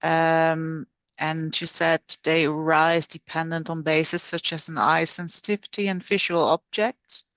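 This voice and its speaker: A woman's voice: low-pitched, little pitch movement, unhurried, fairly bright tone, smooth, no echo. A woman student asking a teacher a question, hesitant and searching for words.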